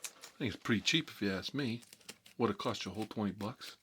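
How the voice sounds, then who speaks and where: A man playing a cagey, cocky mob boss in an ASMR role-play, talking unhurried in needling tough-guy street talk. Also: lowish voice, a bit bright, a little hiss or hum, lightly acted. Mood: scornful, boastful.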